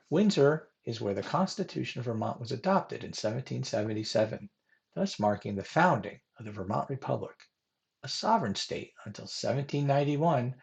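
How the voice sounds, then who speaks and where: A man's voice: medium pitch, animated, moderate pace, gravelly, a little mellow. A man reads a scripted history presentation in a formal manner, as a presenter narrating a biography.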